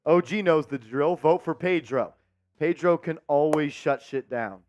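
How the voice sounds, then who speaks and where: A man hosting a live sports watch-party stream, chatting with viewers, reading the chat and calling plays excitedly. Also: a little high, unhurried, highs cut off, soft background music.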